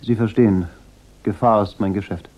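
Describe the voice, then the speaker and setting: A man's voice: fairly low pitch, steady tone, normal speed, lightly acted. A man acting a cool, laconic, insolent private detective in a German radio crime drama. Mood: dry, pointed. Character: cool, wry, unflappable hard-boiled private detective. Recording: dull radio drama recording.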